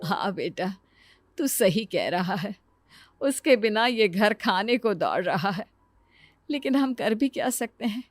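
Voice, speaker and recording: A woman's voice: medium pitch, lively intonation, measured pace, no room sound. A woman voicing a fond, mildly chiding middle-aged father in a cartoon moral story, with an advising manner. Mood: concerned.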